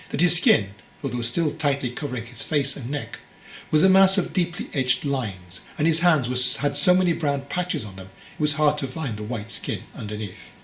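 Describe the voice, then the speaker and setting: Measured male storyteller, medium pitch. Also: a bit bright, rough recording. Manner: measured, phrase-by-phrase storytelling with pauses. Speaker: author reading his own book aloud. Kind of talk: scripted story narration.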